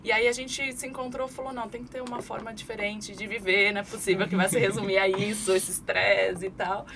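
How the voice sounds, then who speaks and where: A woman's voice: medium pitch, fairly quick, crowd noise. Articulate Brazilian businesswoman on a podcast. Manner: articulate, steady explanations with frequent "né" and "é" fillers. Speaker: podcast guest, marketing expert. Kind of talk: conversational podcast interview. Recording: clear background noise.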